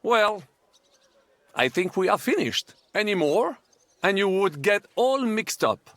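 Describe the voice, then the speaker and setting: An actor voicing a suave, patient foreign gentleman who teaches courteously in a video game. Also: high voice, sing-song, takes it slowly, dry recording, full character voice. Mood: smooth, confident. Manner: courteous, instructive, flowery.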